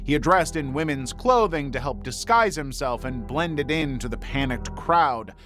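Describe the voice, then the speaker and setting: A man reading scripted true crime narration in a measured, dramatic way with deliberate pauses. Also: medium pitch, expressive, a bit bright. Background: background music.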